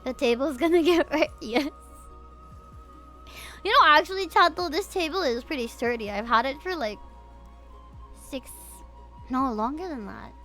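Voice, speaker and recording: A woman with a Filipino accent doing casual livestream game commentary in a gushing, excitable manner. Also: high-pitched, moderate pace, long pauses. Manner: gushing, excitable, reads game dialogue aloud. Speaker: VTuber livestreamer. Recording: game music behind the voice. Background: game music.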